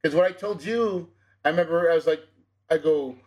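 A man with a fairly high voice hosts a casual podcast interview as a real estate coach. He talks energetically and persuasively, tossing quick confirmations at his guest. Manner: energetic and persuasive, tags with "right?".

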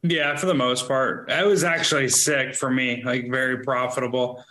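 A man chats about his betting wins on a relaxed podcast. His voice is bright and forceful, and his delivery is loose and rambling, heavy with fillers. His pitch sits in the middle for a man. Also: moderate pace, poor audio. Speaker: podcast co-host, sports bettor. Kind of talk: casual podcast chat.

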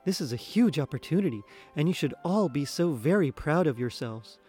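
A man reads the part of a young female schoolteacher in a story. She is enthusiastic and proud, with an upbeat address and a fairly high pitch for a male reader. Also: animated, brisk, a little mellow, slightly rough, soft background music. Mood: excited pride.

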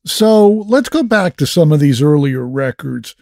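A male interviewer asks short, direct questions. His voice is mellow and a little high for a man. The sound is dry and close, with no room in it. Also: sing-song, a little slow, gravelly, no echo. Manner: brief, direct prompts. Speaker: interview host.

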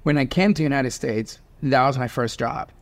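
A man in his forties from Venezuela with a Venezuelan accent and Spanish as his first language, hosting a conversation and telling animated stories with laughs. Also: medium pitch, normal speed. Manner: animated storyteller, laughs while talking. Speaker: host. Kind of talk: casual panel conversation.

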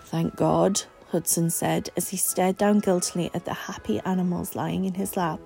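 A woman reading a story aloud in an expressive scripted audiobook style. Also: lowish voice, normal speed, vocal fry, faint music behind. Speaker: volunteer story reader.

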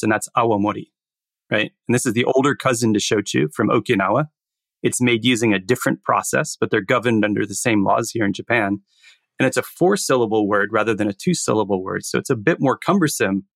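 A man's voice: medium pitch, creaky, fairly bright tone, no room sound, studio quality. A man co-hosting a podcast, from the United States, talking in an easygoing way and laughing often. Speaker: podcast co-host. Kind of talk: casual podcast chat.

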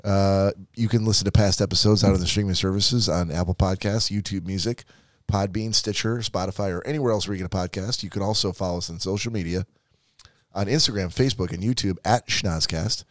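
A man hosting a podcast, chatting casually and running through the show's plugs in a relaxed way. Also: low voice, a little fast, dry recording, studio quality. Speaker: podcast host.

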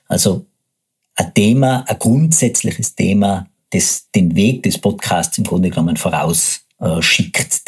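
A man's voice: low voice, darkish tone, breathy edge. A man with a Tyrolean German accent hosting a solo podcast, explaining things step by step in an informal way. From Tyrol, Austria. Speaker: podcast host, agency co-founder.

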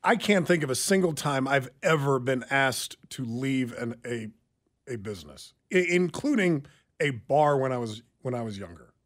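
A man's voice: medium pitch, lively intonation, moderate pace. A man hosting a radio show with casual, joking banter and a wry manner. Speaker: radio host. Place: radio studio.

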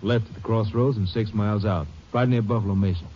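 Actor as folksy low-voiced country doctor, noisy old radio. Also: male voice, fairly even intonation, fast, lightly acted. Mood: matter-of-fact. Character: plain-spoken, cooperative male small-town country doctor. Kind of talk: 1950s radio drama acting. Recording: noisy, muffled old-time radio recording.

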